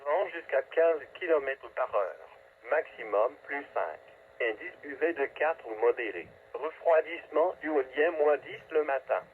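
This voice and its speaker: A man's voice: fairly high pitch, animated, measured pace. A man reading a scripted weather forecast as a radio announcer, in a clipped, segmented, automated delivery. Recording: tinny phone-like weather radio, static noise.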